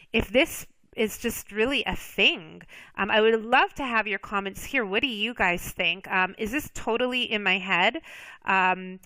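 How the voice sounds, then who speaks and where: A woman speaking to her viewers in an easygoing, reflective monologue, a native English speaker who fills her pauses with ums. Her voice is bright and crisply clear, with a tense edge. The sound is dry, with no room echo. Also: medium pitch. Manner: reflective, lots of ums and restarts. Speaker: vlogger. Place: Spain.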